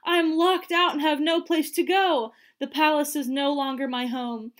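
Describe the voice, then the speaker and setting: A woman's voice: sits high, fairly even intonation, moderate pace, fairly bright tone, voice pushed up. A woman voicing a frightened young princess in a storybook, pleading and emotional as she speaks. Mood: despairing.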